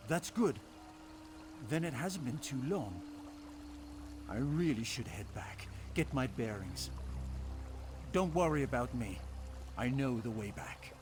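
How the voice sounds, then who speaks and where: An actor playing a dazed, proud male adventurer in a fantasy game, halting and disoriented as he speaks. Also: mid-range voice, expressive, music in the background. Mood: dazed, recovering. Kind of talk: scripted fantasy game dialogue.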